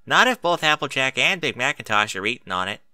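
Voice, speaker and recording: A man voicing an eager, cheeky young female country pony in an excitable, folksy way for a story. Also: mid-range voice, fairly quick, clean tone, no room sound, pitched above the performer's own voice.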